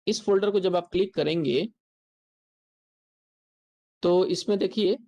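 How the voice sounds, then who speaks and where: An instructor with an Indian accent giving a software tutorial lecture, explaining step by step and repeating points. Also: mid-range voice, unhurried, highs cut off.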